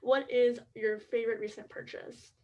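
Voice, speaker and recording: Brief: young woman host, higher-pitched, upbeat and chatty, sounding a bit off-mic. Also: fairly even intonation, normal speed, lo-fi sound. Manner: upbeat, friendly, uses "like" often. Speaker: podcast host. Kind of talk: casual interview hosting. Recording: distant from the mic.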